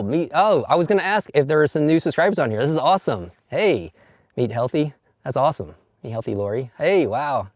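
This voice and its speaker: A slightly hoarse man chatting casually on a phone live stream outdoors at a campsite, laughing often and greeting viewers one by one. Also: medium pitch, dry recording, studio quality. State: slightly hoarse after losing his voice. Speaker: vlogger. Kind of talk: casual live stream chat, reading comments. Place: outdoors at a campsite in bright sun.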